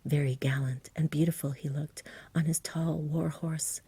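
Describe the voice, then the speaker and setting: Very breathy, soft whispering woman narrator. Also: deep voice, steady tone, no room sound. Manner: gentle, slow, soothing. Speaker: ASMR storyteller. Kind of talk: ASMR bedtime story reading, scripted narration.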